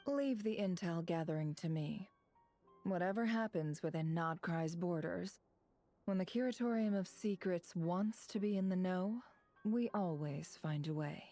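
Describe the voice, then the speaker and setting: A confident spymaster woman for a video game, composed and measured. Her voice is fairly low and mellow, sounding over background music. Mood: assured. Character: composed, confident female intelligence leader, smooth and assured.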